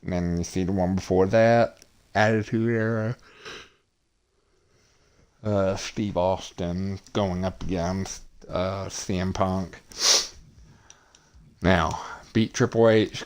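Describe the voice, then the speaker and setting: A man streaming a wrestling video game, talking in a monotonous, hesitant way with many pauses and fillers. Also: deep voice, smooth. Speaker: gaming streamer. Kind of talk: casual game commentary.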